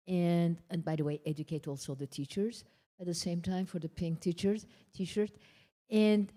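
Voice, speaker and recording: An older woman speaks on a conference panel in a mellow, fairly low voice. She talks earnestly and haltingly, pausing to search for her words. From Iran. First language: Persian. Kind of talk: panel discussion remarks.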